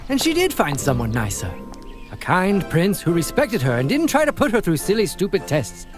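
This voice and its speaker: A woman's voice: on the low side, huge pitch swings, normal speed. A woman playing a mock-solemn storyteller, giving theatrical comic narration with dramatic patter over music. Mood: storytelling. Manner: dramatic, crisp alliterative patter.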